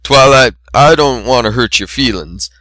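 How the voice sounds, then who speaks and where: A big farm stallion from a storybook, harried and pleading, acted by a man. The voice is forceful and flustered, recorded dry. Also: mid-range voice, normal speed, no echo. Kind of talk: amateur dramatic reading of fan fiction.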